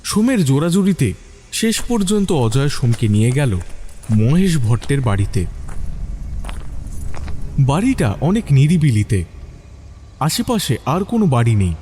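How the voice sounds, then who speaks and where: A man's voice: mid-range voice, very animated, a little slow, long pauses, dark tone, husky. A man reading a scripted dramatic story as its narrator.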